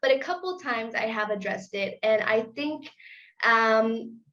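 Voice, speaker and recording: A woman from Chicago with an American accent, a content creator chatting casually as a guest, relaxed and laughing between points. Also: on the high side, a little slow, dull audio. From Chicago, USA. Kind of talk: casual interview chat.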